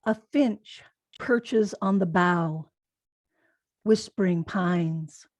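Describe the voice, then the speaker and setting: A woman poet reading haiku aloud in a measured delivery with pauses between the phrases. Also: fairly low pitch, a little mellow, no echo. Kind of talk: poetry reading.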